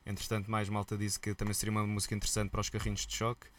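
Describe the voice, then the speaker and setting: A man with a European Portuguese accent, a musician guest telling stories in a relaxed, colloquial interview chat. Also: fairly low pitch, racing, slight background noise. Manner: lively storyteller, relaxed and colloquial.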